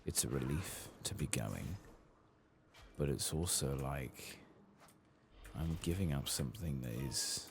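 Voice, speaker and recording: Very deep, soft-spoken gravelly man. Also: measured pace, a little hiss or hum. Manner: hushed, sometimes dropping into whispers. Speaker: ASMR gaming video host. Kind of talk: soft-spoken ASMR game playthrough with casual rambling.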